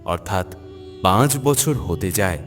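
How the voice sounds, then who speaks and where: Deep male storyteller in long reverb. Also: animated, slow pace. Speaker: narrator. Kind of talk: scripted storybook narration for a cartoon biography. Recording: long hall reverb, music underneath.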